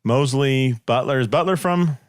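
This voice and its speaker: A man's voice: mid-range voice, moderate pace, no room sound, clean studio sound. A man hosting a podcast, chatting casually and teasing his guest in a playful way. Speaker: podcast host and interviewer. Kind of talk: casual candid interview chat.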